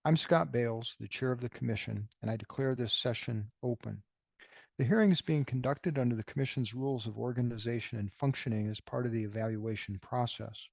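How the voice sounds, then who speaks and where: Gravelly guy reading out hearing procedure, slow and careful, dry close mic. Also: medium pitch, a little mellow, no room sound. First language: not Romanian. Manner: measured, deliberate official delivery. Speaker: chair of a vetting commission hearing. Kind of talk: formal procedural statement.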